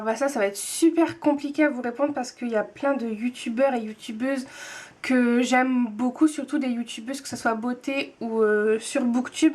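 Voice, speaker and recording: A woman's voice: high voice, a little mellow. A woman in her twenties chatting casually to camera, hesitant with many fillers and restarts as she answers tag questions. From France. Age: 20s. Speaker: booktube vlogger, nurse.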